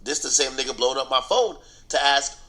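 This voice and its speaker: A man's voice: medium pitch, brisk, sharp tone. A man giving casual, slangy commentary on celebrity gossip, bluntly and with repeated phrases for emphasis. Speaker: online commentator and host.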